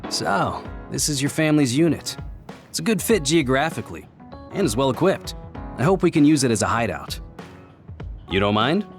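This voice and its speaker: A man voicing a calm, considerate squad captain in an anime-style video game, with a measured, authoritative delivery. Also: medium pitch, faint music behind. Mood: businesslike.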